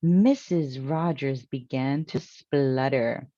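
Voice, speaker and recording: A woman with an American accent reads a children's story aloud in an animated, deliberate way. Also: rumbling low, dry recording, dull audio. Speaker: storytime reader.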